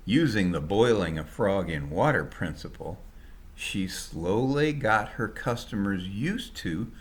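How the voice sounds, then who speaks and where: Animated low-voiced male storyteller. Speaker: storyteller, author reading own story. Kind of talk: scripted story narration, colloquial and dramatized.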